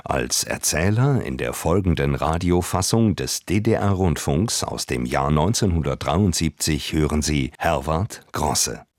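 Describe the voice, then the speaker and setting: A man reading a scripted introduction as a measured radio announcer. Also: rumbling low, expressive, dry recording, clean studio sound.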